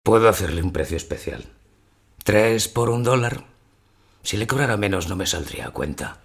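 An actor playing a bitter, weary maimed door-to-door photographer in an audio drama, blunt but formally polite. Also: male voice, fairly low pitch, expressive, normal speed, creaky, slightly rough, heavily acted. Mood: polite, resigned. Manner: blunt, resentful, formally polite to his customer. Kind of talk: audio fiction dialogue.

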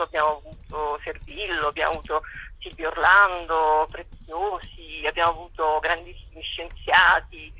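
Husky, piercingly bright woman on the phone. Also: fairly low pitch, dull audio. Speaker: festival director, radio interview guest. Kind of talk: phone interview, explaining. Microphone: telephone.

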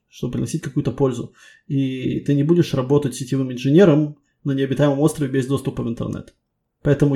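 A man's voice: mid-range voice, creaky, mellow. A man working as a technical interviewer, giving casual feedback in a reassuring, encouraging way.

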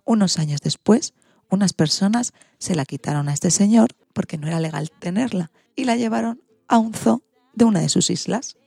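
A woman's voice: mid-range voice, normal speed, vocal fry, dark tone, slightly rough, no echo, studio quality. A woman presenting and narrating scripted stories for children. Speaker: co-host of an animal-stories programme for all ages.

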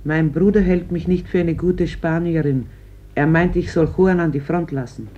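An actress playing a stern, worried Spanish mother in a radio play, husky and plainly reproachful. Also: fairly low pitch, fairly even intonation, moderate pace, dark tone, natural voice. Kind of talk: German radio play acting. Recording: dull old radio recording.

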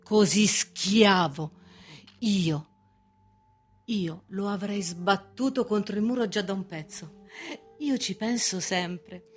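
A woman's voice: mid-range voice, takes it slowly, breathy edge. A woman acting in a radio drama as a servile, resentful male usher and wronged husband, plaintive and brooding. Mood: brooding, vengeful. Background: music.

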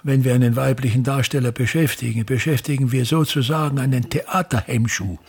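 An actor playing a pompous, embittered ageing theatre impresario in a radio play, declaiming in querulous, repetitive tirades with emphatic pauses. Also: male voice, mid-range voice, steady tone, breathy edge. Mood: bitter, ranting. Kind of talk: German-language radio play acting.

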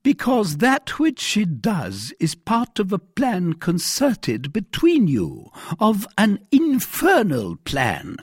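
Man acting a stern storybook commissary, commanding, accusatory, sharply raised pitch, very animated. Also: slow, hardly any pauses, slightly rough, no room sound, clean studio sound, heavily acted. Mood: accusing. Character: stern, imperious male police commissary barking orders.

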